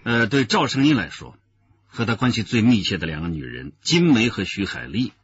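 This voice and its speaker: Seasoned detective, low-voiced, deliberate and authoritative, slightly muffled radio drama. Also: male voice, big pitch swings, breathy edge, no echo. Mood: analytical, grave. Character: seasoned male police detective leading case analysis. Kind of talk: Chinese radio drama acting.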